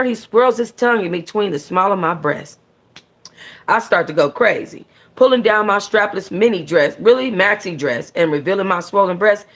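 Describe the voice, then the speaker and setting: Lower-pitched woman reading a novel aloud. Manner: informal, stumbles and corrects herself. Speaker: book club host reading a novel aloud. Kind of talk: animated first-person audiobook-style reading with casual asides.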